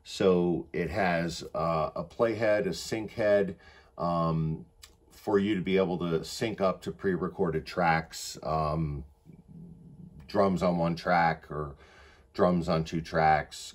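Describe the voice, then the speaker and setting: A man giving a casual product demonstration as he shows off equipment indoors. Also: deep voice. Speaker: demonstrator of a reel-to-reel tape deck. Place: indoors, in a wood-panelled room.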